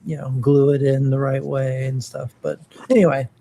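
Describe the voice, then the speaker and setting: A man chatting casually on a podcast, talking in a halting way with lots of pauses and restarts. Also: medium pitch, little pitch movement, vocal fry, dark tone, a little hiss or hum. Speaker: co-host.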